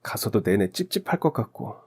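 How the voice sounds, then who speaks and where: A man voicing a caring, supportive newlywed husband in a calm, considerate way. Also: fairly low pitch, brisk, husky, exaggerated voice. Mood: reassuring.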